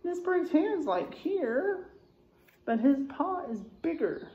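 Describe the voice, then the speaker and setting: A middle-aged woman, a kindergarten teacher reading a children's book aloud and pausing to explain things simply in a deliberate, expressive way. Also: very high-pitched, muffled recording. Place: indoors, in a room with drywall and posters.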